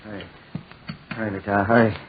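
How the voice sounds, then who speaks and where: An actor playing a desperate, haunted and murderous old gentleman in a 1940s radio drama, raving and commanding in a frantic manner. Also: fairly low pitch, little pitch movement, fairly quick. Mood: urgent, impatient. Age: older. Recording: husky, tinny 1940s radio recording.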